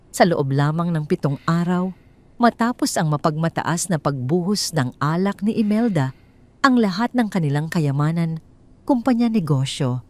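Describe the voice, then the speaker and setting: A woman with a Filipino accent reads a scripted dramatic story in a measured, emphatic way. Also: fairly low pitch, a little mellow.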